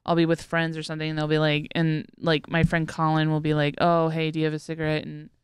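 A woman's voice: sits low, completely flat delivery, quick pace, clean tone, dry recording. A young woman chatting informally as a panelist, telling everyday anecdotes and acting out exchanges. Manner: informal, acts out quoted exchanges. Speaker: podcast panelist. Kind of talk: casual chat with anecdotes. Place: small podcast studio. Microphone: close studio microphone on arm.

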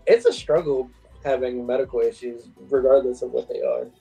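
A man's voice: medium pitch, moderate pace, gravelly, dark tone, breathy edge, faint music behind, poor audio. A man chatting casually as a guest over a video call from a room at home. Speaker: guest co-host.

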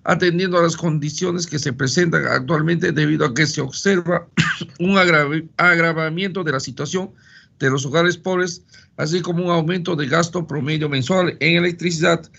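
A man chairing a committee reads a formal text in a measured way. His voice is gravelly and husky, yet bright and fairly high. It comes through a muffled, lo-fi line. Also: fairly even intonation. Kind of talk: formal session chairing and reading.

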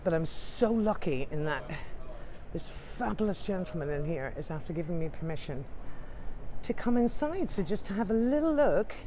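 A woman's voice: lowish voice, lively intonation, normal speed, background noise. A woman leads a walking tour outdoors, talking chattily and upbeat to viewers she calls ladies and gents. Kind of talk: walking tour narration to camera. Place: outdoors on city streets.

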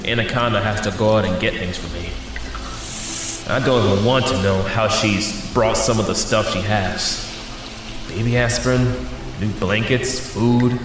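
An actor playing a weary, injured young male superhero held captive, narrating a halting inner monologue in an audio drama. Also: lowish voice, natural voice. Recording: echoing room with music underneath. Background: music.

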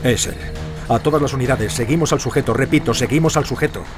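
A man's voice: mid-range voice, fast, music in the background, close to an everyday voice. An actor playing a terse, authoritative police chief giving orders to his units in a radio drama. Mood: commanding, urgent. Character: commanding male police chief directing units.

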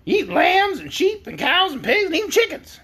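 A cheeky female hen from a children's storybook, acted out by a narrator. The voice sits high and jumps around in pitch. She is brisk, matter-of-fact and always sure she is right. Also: huge pitch swings, bright, forceful tone, heavily acted. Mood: indignant, argumentative. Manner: argumentative, self-assured.

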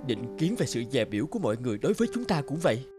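An actor playing a bitter, despairing young outcast in a cartoon, dramatic and fatalistic. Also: male voice, medium pitch, sing-song, very fast, music in the background, natural voice.

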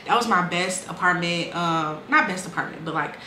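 A woman's voice: lowish voice, moderate pace, bright, forceful tone, echoey room, slight background noise, low-quality recording. A woman in her twenties casually and candidly telling her life story, with lots of "um" and "like". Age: 20s. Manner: candid, conversational, frequent fillers and restarts.

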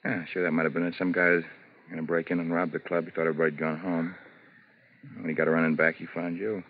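Low, husky gambler husband. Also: male voice, level tone, fast talker. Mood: evasive, reassuring. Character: smooth-talking, impatient gambler husband, evasive. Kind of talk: 1950s radio drama acting. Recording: tinny, narrow old radio recording.

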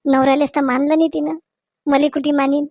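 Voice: sits high, little pitch movement, fairly quick, a little mellow, dry recording, dull audio. A performer voicing an anxious village daughter-in-law in a comedy cartoon, with a Varhadi Marathi accent. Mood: worried. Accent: Varhadi Marathi from Vidarbha.

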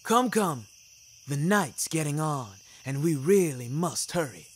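A man's voice: a little high, lively intonation, measured pace, slight background noise. A man voicing a jovial, worldly old wolf in an audio story, theatrical and genial with a sly edge. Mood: jovial, welcoming. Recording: music bed under the voice.

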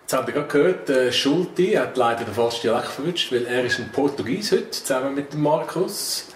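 A man's voice: medium pitch, fairly quick. A young man with a Swiss German accent hosting a football video game session, joking with friends. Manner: joking, greets viewers, lively. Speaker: let's play host. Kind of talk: casual gaming banter. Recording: echoey room, distant mic, noisy.

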